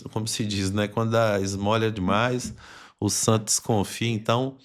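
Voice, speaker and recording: A man with a Brazilian accent hosting a conversational podcast interview, explaining things at length in a wordy way. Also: fairly low pitch. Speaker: podcast host.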